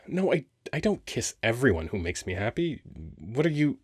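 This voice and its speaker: A man playing a handsome, playful male sphinx guarding a temple in an ASMR roleplay, talking in a teasing, expressive, conversational way. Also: mid-range voice, normal speed, husky, no room sound, studio quality. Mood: astonished joy. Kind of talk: ASMR roleplay audio acting.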